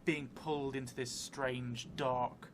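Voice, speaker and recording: A middle-aged man improvising narration for a solo tabletop game, thinking aloud thoughtfully in halting, short phrases. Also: medium pitch, level tone, background noise. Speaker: solo role-playing streamer. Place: indoor room set up for streaming, seated at a table. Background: music.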